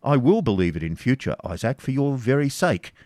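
A man's voice: medium pitch, big pitch swings, brisk, a little mellow, no echo, studio quality, voice pushed up, natural voice. A man voicing a stern, cruel medieval baron who speaks in harsh commands.

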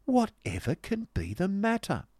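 A man's voice: lowish voice, big pitch swings, a little slow, mellow, dry recording, clean studio sound, pitched above the performer's own voice. A man voicing a pert, vain young woman with an affected, mockingly polite manner in a novel reading. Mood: affected astonishment. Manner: affected, taunting, prim.

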